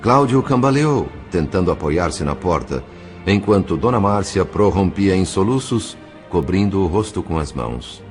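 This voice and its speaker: A low-pitched Brazilian man narrates a drama in solemn, literary phrases. His intonation swings expressively, and music plays clearly behind him. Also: lively intonation, normal speed, a little mellow. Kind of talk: dramatic scripted audiobook narration.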